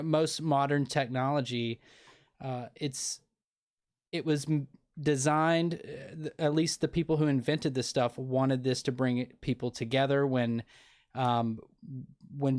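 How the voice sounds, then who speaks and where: A man's voice: mid-range voice, a little mellow. Male podcast host, casual interview, rambling, earnest, lots of restarts. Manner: rambling, many restarts and fillers; earnest, opinionated.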